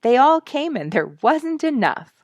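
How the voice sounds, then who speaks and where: A woman voicing an excited, giggly little girl in a storybook, exclaiming gleefully with drawn-out, emphatic words. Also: high-pitched, dry recording, clean studio sound, voice pushed up.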